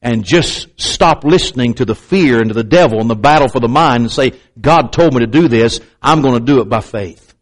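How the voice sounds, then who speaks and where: A man preaching a sermon with emphatic, repetitive pulpit delivery and pointed asides to the congregation. He has a slight stutter and sometimes catches on a word. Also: a little fast. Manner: slight stutter, clamps up on words; acts out doubters in a raised pitch.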